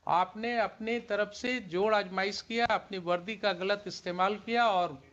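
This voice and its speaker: A man's voice: high-pitched, steady tone, measured pace, a bit bright. A man with an Indian accent questioning someone in court as a judge, in an authoritative, interrogating manner. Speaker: judge questioning a police officer. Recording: muffled courtroom stream with clear noise.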